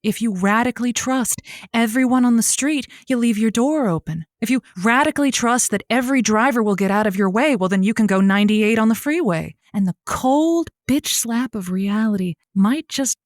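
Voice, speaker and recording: A woman's voice: fairly high pitch, expressive, normal speed, hardly any pauses, no room sound, close to an everyday voice. Woman acting as radio advice host, frank, wry, folksy, close dry sound, playful pointed phrasing. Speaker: call-in advice show host. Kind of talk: improvised comedy radio advice talk.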